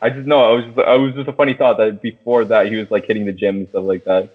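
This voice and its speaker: A young man co-hosting a podcast, chatting casually and excitably about a film in slangy, sweary speech. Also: lowish voice, fast, husky. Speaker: podcast co-host. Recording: thin, phone-like band-limited audio.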